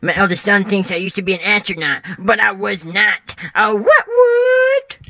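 A man playing a jittery, gravelly, swooping oddball dad. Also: high-pitched, sing-song, normal speed, pushed and bright, no room sound, clean studio sound, exaggerated voice. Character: sketchy, jittery confessing dad selling knives and bootlegs. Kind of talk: comedy sketch acting.

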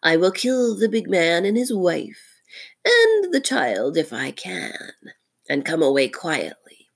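Woman playing a hushed, murderous male cobra. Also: fairly low pitch, sing-song, takes it slowly, gravelly, husky, full character voice. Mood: coldly plotting.

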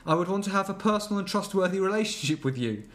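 A man with a fairly high-pitched voice co-hosts a comedic podcast. He chats casually and reads emails aloud, dropping in amused, mocking remarks. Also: animated, fast, a bit bright. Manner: amused, mocking asides while reading. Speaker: podcast co-host.

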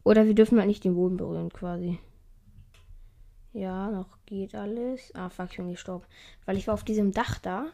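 A young woman chatting casually through mobile game challenges, a little hoarse, her voice often climbing high and cracking. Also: fairly even intonation, normal speed, mellow. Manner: voice keeps rising high at phrase ends; chatty, swears casually when she fails. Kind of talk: casual gameplay commentary.

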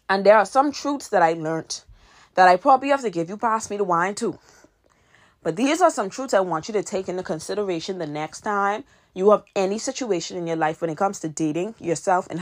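A woman in her twenties hosting a podcast, giving earnest advice in a casual monologue and repeating her key points for emphasis. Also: on the low side. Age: 20s. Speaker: podcast host and author.

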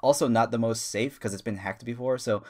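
A man's voice: mid-range voice, fairly quick, darkish tone. Chatty man in his thirties, rambling. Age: 30s. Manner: rambling, enthusiastic, many fillers and restarts. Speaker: podcast co-host. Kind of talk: casual podcast chat.

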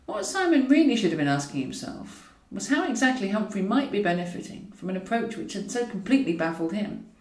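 Female storyteller, wry and animated audiobook read, echoey room sound. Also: mid-range voice, a little fast, few pauses. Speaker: author reading own novel. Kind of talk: scripted audiobook narration of comic fiction. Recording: roomy echo, distant from mic.

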